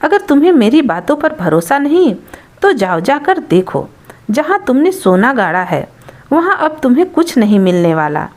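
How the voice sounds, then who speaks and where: A woman's voice: sits high, big pitch swings, normal speed, a little mellow. A woman voicing a shrewd, skeptical male trader with a blunt manner in a folktale. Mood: blunt warning.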